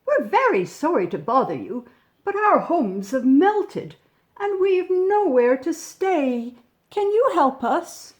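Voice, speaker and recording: Apologetic polar bear refugee played by a woman reader, slow, courteous, pleading, rising high, for a picture book. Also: very animated, exaggerated voice. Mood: polite pleading. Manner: polite, pleading. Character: polite, apologetic homeless polar bears.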